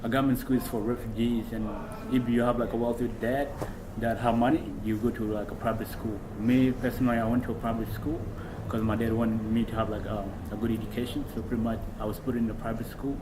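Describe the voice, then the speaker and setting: A young man from Kenya, a talk-show guest, telling childhood stories in a relaxed way with many fillers, recorded indoors on a camera mic a few feet away. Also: mid-range voice, fast talker, few pauses, background noise. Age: young adult. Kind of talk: casual chat. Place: small room indoors, seated on a couch.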